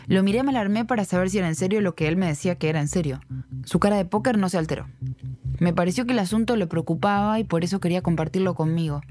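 A woman's voice: on the low side, quick pace, darkish tone, dry recording, close to an everyday voice. A woman playing a talkative, curious young woman who narrates a mystery in the first person for a podcast drama. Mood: intrigued, confiding.

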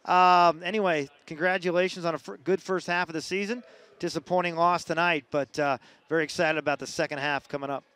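A man hosting a post-game radio interview, asking long, halting questions. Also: fairly high pitch, steady tone, a bit bright, clear, resonant tone. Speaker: sports radio host.

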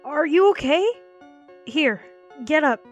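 A woman's voice: high-pitched, expressive, slow pace, dull audio. A woman voicing a kind, eager young goat monster boy in a comic dub. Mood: concerned, kind. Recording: faint music behind.

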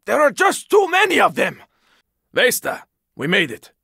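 A gruff, weary squad fighter from a zombie video game. His voice is raspy and full of gravel, with lively swings in pitch. He talks in short, urgent bursts at an unhurried pace, with a sardonic edge. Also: no room sound, clean studio sound, heavily acted. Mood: urgent, shouting, then weary, grim. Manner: terse, urgent, swearing. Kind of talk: video game character combat barks.

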